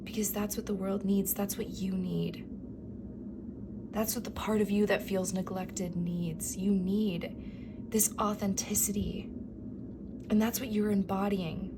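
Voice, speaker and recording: A woman reading tarot cards for her viewers, speaking softly and affirmingly, often slipping into a whisper, her voice going from a cough. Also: mid-range voice, steady tone, unhurried, background noise. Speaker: tarot reader. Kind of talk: pick-a-card tarot reading.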